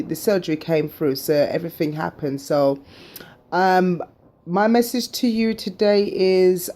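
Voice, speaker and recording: A woman's voice: on the low side, warm tone. A middle-aged woman telling her own story in a chatty, emphatic way, full of fillers, then urging viewers on. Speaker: vlogger sharing her surgery journey. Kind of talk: casual personal storytelling to camera.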